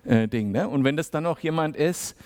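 A man giving a sermon in a relaxed, conversational way, with many fillers and little acted-out exchanges. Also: fairly high pitch, moderate pace. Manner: conversational, acts out small dialogues. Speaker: preacher.

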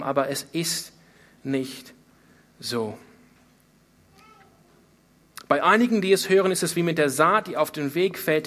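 Guy preaching a bible sermon, slightly high voice, earnest, repeats stuff for emphasis, asks rhetorical questions. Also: a bit bright.

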